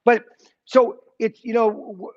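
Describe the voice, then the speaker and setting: A man's voice: extremely high pitch, level tone, slow pace, lo-fi sound. A man in his sixties teaching an informal Sunday school Bible lesson, rambling and often checking in with his listeners. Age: 60s. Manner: rambling, keeps checking in with "okay?".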